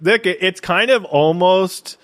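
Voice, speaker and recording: A man co-hosting a casual discussion, retelling things in animated, profane slang and voicing reactions as he goes. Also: sits high, unhurried, no echo, studio quality. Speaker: podcast co-host reviewing a horror film.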